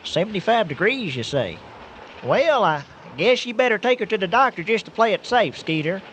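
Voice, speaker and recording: An actor playing an excitable, kindly country truck driver with a folksy, stammering manner, in a radio comedy drama. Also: male voice, sits high, normal speed. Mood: folksy, chatty. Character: excitable, kindly rural manure hauler, folksy and plain-spoken. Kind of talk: old-time style radio comedy drama acting. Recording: noisy radio drama track with ambience.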